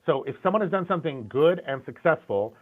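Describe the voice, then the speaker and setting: A man's voice: a little high, animated, fairly quick. A middle-aged man, a concept art and animation director, talking candidly in a casual interview, often restarting and repeating words. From Syrian, grew up in the US. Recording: tinny phone-like call audio.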